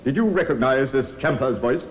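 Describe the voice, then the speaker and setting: An actor playing a stern Crown prosecutor in an old radio courtroom drama, oratorical, emphatic and insistent. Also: male voice, medium pitch, fairly quick, close to an everyday voice. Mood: probing. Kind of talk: 1950s radio drama acting. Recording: muffled old radio recording, hissy, long hall reverb.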